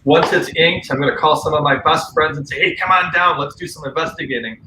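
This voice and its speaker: A man's voice: on the high side, quick pace. A man talking casually and earnestly as a guest on a paranormal radio show, with firm opinions. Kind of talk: casual conversational talk. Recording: roomy echo, faint background noise.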